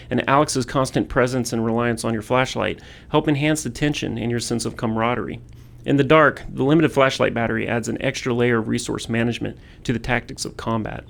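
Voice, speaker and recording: A man with a medium-pitched voice full of vocal fry gives scripted commentary. He explains design work as a game developer.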